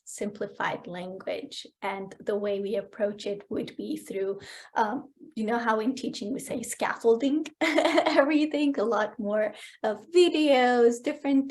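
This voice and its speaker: A woman whose first language is Persian, giving a keynote answer on a video call in a lively, explanatory way. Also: on the high side. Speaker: keynote speaker. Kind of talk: conference talk answering questions.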